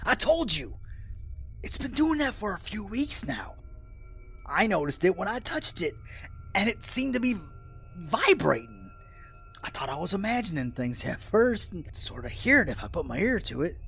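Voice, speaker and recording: An actor playing a folksy, rambling old American hermit in a radio drama, with a gravelly, raspy voice and an excitable rustic manner. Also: male voice, high voice, moderate pace, faint music behind, full character voice. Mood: defensive, agitated. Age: older.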